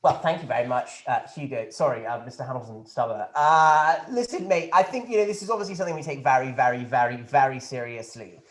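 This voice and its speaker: A man's voice: medium pitch, fast, few pauses, echoey room, lightly acted. A man playing a pompous, flippant posh government minister who rambles and stumbles over a video call. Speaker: politician taking questions. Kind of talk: satirical press conference sketch.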